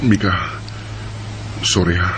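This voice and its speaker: A man's voice: sits low, steady tone, slow, background noise. A man acting an earnest young suitor and friend in a radio drama, with a Filipino Bisaya accent. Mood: apologetic, earnest. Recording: radio drama with prominent music bed.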